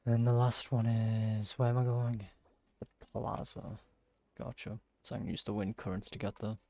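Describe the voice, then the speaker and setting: A man plays a game and comments casually in short, musing reactions. His voice is fairly low and creaks with vocal fry. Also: flat delivery, moderate pace, warm tone. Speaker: gamer playing through a story quest.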